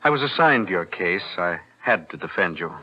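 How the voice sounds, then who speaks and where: An actor playing a shrewd, composed defense attorney, measured and insinuating, in 1940s radio thriller style. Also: male voice, deep voice, big pitch swings. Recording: dull vintage radio recording.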